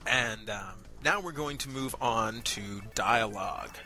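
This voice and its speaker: A man's voice: on the low side, takes it slowly, bright, forceful tone, faint music behind. A man co-hosting a casual review show, talking informally and with enthusiasm. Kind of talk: casual chat, reviewing amateur voice acting.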